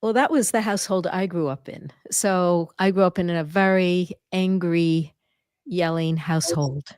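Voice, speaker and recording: A woman hosting an interview, speaking softly and thoughtfully. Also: on the low side, measured pace, smooth, no room sound, clean studio sound. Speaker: podcast host. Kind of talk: conversational interview.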